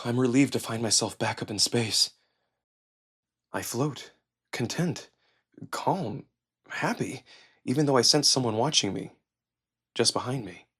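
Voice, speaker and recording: A man reading a visual novel in the first person as a theatrical, expressive narrator. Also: mid-range voice, unhurried, pauses often, breathy edge. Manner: theatrical, expressive, acts out emotion. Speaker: narrator and performer. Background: music.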